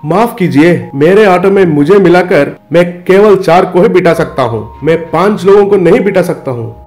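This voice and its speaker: A man's voice: high voice, animated, moderate pace, darkish tone, soft background music. A man voicing an honest, polite, earnest auto driver in a cartoon story, speaking emphatically.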